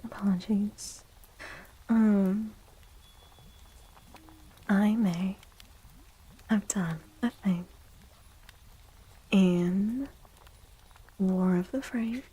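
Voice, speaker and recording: A woman livestreaming soft-spoken ASMR chat in a playful, unhurried way, with a breathy, often whispery voice close to the mic. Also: mid-range voice, low-quality recording. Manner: playful, unhurried, repeats phrases. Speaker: livestream host.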